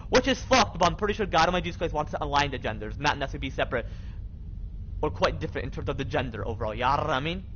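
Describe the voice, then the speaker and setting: A man's voice: on the high side, lively intonation, rapid-fire, fairly bright tone. A young American man chatting casually on a game livestream in a rambling, repetitive, slangy way. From Michigan, United States. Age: young adult. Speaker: live streamer. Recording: dull lo-fi mic with faint noise.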